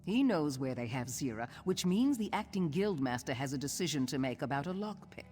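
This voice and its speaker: An actress playing a shrewd veteran thief in a video game, dry, brisk and confident. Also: female voice, low voice, very animated, a little mellow. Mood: confident, urgent. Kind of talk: scripted video game dialogue.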